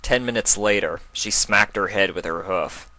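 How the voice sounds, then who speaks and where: A man's voice: fairly low pitch, moderate pace, sharp tone, dry recording. A man narrating a scripted story in a dramatic reading style. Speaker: narrator, fan fiction reader.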